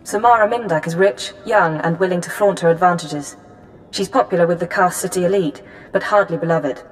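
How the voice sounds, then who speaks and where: An actress playing a cool, businesslike female intelligence handler in a video game, giving briefings with crisp control. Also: lowish voice, a little fast, slight background noise, close to an everyday voice, poor audio. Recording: music under the voice.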